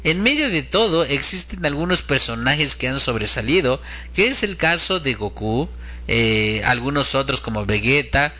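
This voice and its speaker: A man's voice: medium pitch, expressive, moderate pace, bright, forceful tone. A man giving an earnest, moralizing religious commentary in a sermon-like lecture style.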